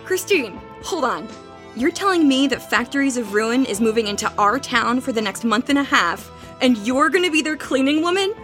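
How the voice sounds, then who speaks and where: Skeptical, studious young female roommate played by an actress, slightly high voice, blunt and challenging, horror audio drama. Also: animated, moderate pace, a bit bright, faint music behind. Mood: incredulous, dry. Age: young adult. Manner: dry, matter-of-fact, challenging.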